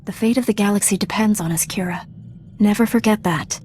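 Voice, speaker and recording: Lady playing a composed serious jedi knight for a game, medium pitch, dutiful, sounds in charge. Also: darkish tone, a little hiss or hum, close to an everyday voice. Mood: earnest, admonishing. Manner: measured, serious, authoritative. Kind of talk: video game cutscene acting.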